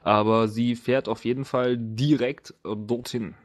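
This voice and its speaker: A man with a middle-pitched voice works as game master at a tabletop game. He improvises the narration casually, feeling his way through sentences in everyday colloquial wording. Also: steady tone, measured pace.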